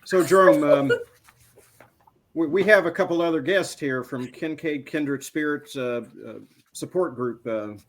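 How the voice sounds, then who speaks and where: A man's voice: on the high side, unhurried, slight background noise. A man co-hosting a casual talk show, asking curious questions with many restarts and hesitations. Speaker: co-host. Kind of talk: casual chat.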